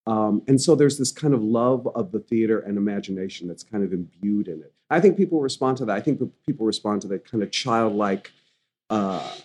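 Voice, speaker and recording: A man's voice: on the low side, a little fast, darkish tone. A male stage actor in an interview, talking thoughtfully with frequent restarts. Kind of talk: conversational interview.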